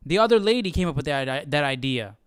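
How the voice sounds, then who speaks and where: A man's voice: on the high side, expressive, brisk, clear, resonant tone, dry recording, studio quality. A man hosting a live Bible study, reacting casually and incredulously, often repeating himself.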